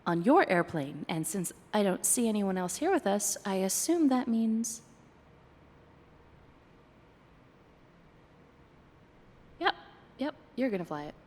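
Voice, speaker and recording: A woman's voice: mid-range voice, sing-song, normal speed, darkish tone. Playfully sarcastic woman acting a flirty date, long reverb. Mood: incredulous, playful. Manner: playful, dryly sarcastic, hesitant asides. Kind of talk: ASMR roleplay, one-sided dialogue.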